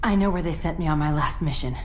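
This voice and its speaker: A woman's voice: sits low, quick pace, heavy background noise, lightly acted. A woman playing a resolute, cool young covert-ops agent in a video game, dry and matter-of-fact. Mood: earnest. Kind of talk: video game cinematic voice acting. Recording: music behind.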